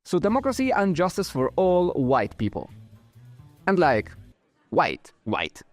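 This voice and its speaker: A man's voice: a little high, wildly swooping pitch, measured pace, soft background music. A man with a German and Spanish accent, born in Germany and raised in Chile, hosting his channel with wry, conversational commentary. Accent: mix of German and Spanish. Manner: wry, conversational, occasional chuckles. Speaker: channel host. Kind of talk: casual commentary reading comments.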